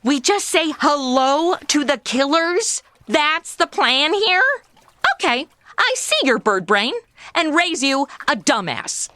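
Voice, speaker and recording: A woman's voice: high voice, animated, takes it slowly, pushed and bright, heavily acted. A woman playing a chatty, oblivious, sassy modern young woman in a comedy audio drama, with flippant, slangy delivery. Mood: whiny, indignant.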